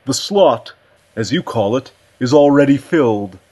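A man's voice: mid-range voice, animated, measured pace, a little mellow, voice pushed up. A man voicing a big, curt male department chairman in a story, formal and dismissive. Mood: cold, dismissive.

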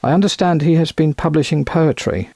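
A man voicing a genial gentleman investigator in an audiobook, speaking in a courteous, formal manner. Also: fairly high pitch, brisk, gravelly, husky, no room sound, clean studio sound, pitched above the performer's own voice. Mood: polite, probing.

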